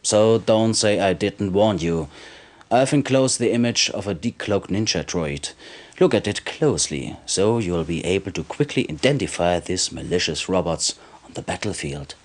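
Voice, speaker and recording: A sly underground informant from a video game. His voice sits on the low side. He speaks with smooth insinuation, like a conspirator dealing in military secrets. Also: lively intonation, normal speed. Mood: warning. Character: sly male underground informant, smooth-talking and self-serving. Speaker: informant character in a game cutscene. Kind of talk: acted video game briefing.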